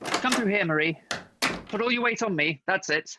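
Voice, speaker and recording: A man's voice: high voice, animated, normal speed, noisy background. A man playing a polite, earnest undercover detective posing as a waiter in a comic radio play. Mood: earnest, taking charge. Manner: courteous, earnest. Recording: dull home recording, music underneath.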